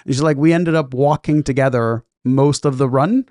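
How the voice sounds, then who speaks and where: A man's voice: mid-range voice, moderate pace, a little mellow, dry recording, studio quality. A man co-hosting a casual business podcast, talking chattily and ending his points with "right?". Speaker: podcast co-host.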